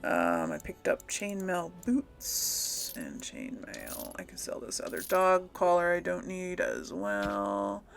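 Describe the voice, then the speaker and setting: A woman's voice: lowish voice, wildly swooping pitch, takes it slowly, vocal fry, hardly any pauses. A woman hosting a casual gameplay video, thinking aloud as she plays. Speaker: gaming video host. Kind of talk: casual role-play gameplay commentary.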